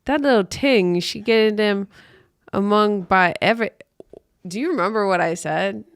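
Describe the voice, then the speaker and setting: A woman's voice: medium pitch, moderate pace, clear, resonant tone, no echo, clean studio sound. A woman hosting a comedy podcast, riffing in a blunt, sweary, exaggerated and irreverent way. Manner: blunt, sweary, exaggerated, breaking into mock voices.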